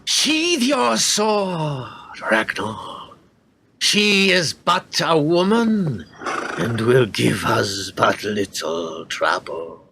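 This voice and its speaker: A man's voice: medium pitch, wildly swooping pitch, very slow, gravelly, bright, forceful tone, a little hiss or hum, full character voice. An actor playing a haughty, greedy warrior king and father in a radio play, with pompous, archaic delivery. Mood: stern, commanding. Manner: pompous, declamatory archaic speech.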